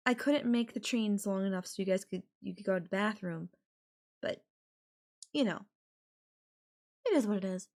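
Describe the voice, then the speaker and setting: A woman voice actor playing an obsessive, possessive girlfriend in an intimate audio roleplay, with a coy, casual, drawn-out way of talking. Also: medium pitch, a little mellow, natural voice. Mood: casual, matter-of-fact. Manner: coy, drawn-out, casual filler-laden phrasing with giggles and sighs. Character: obsessive, possessive yandere girlfriend, playfully menacing kidnapper.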